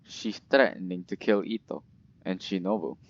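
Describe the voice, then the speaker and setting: Man, gamer, casual reactions, lowish fry-heavy voice, muffled lo-fi recording. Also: moderate pace. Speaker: gamer commenting over gameplay. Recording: dull lo-fi mic over game music.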